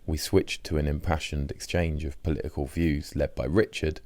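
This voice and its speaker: Very deep-voiced man narrating a diary. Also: steady tone, normal speed, darkish tone, no room sound. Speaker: audiobook narrator reading a diary. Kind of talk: scripted first-person diary narration.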